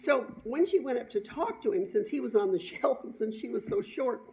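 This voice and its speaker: A middle-aged woman telling an informal personal story in an animated, chuckling way, as an author sharing her own work. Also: on the high side, fairly quick. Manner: animated, chuckling, acts out dialogue. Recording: dull lo-fi sound, clear background noise.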